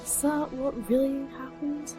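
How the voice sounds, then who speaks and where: A woman's voice: sits high, fairly even intonation, unhurried. A woman playing an observant, suspicious member of a theatre company in an audio drama. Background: music.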